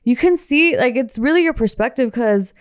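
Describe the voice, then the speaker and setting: A woman in her thirties with a fairly high, clear voice in a dry, close recording. She chats casually as a podcast co-host, loose and informal, with plenty of "like" thrown in. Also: animated, normal speed, no room sound. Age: 30s. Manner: peppers talk with "like" and mild swearing. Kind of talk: casual chat.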